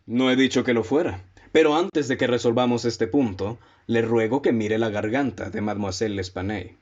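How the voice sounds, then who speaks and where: An actor playing a coolly confident gentleman detective in a radio drama, speaking formally and didactically. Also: mid-range voice, normal speed, lo-fi sound, lightly acted. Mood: probing, assured. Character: coolly confident gentleman detective, male, lecturing his companions.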